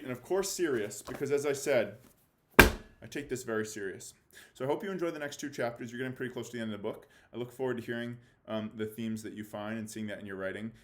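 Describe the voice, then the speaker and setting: A man teaching fifth graders in an informal, colloquial way, often checking in with his listeners. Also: mid-range voice. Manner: colloquial, with check-in tags like "right?". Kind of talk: informal lesson addressed to students.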